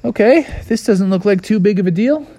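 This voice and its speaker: A man's voice: high-pitched, expressive, brisk, dark tone, pitched above the performer's own voice. A man voicing an eager, cheerful bear who delivers pizza in a children's story, casual and enthusiastic. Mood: untroubled.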